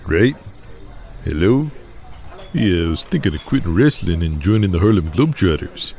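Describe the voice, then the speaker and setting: Actor playing an excitable, chummy veteran wrestler. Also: male voice, low voice, normal speed, vocal fry, heavily acted. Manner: animated, calls people brother, blurts questions. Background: music underneath.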